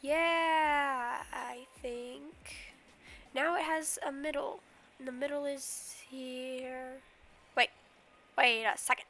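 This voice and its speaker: A woman's voice: sits high, fairly even intonation, slow pace, sharp tone, soft background music. A young woman streaming a game from her bedroom, rambling casually and humming silly nonsense sounds, a little sniffly from a cold. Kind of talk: casual livestream commentary while playing.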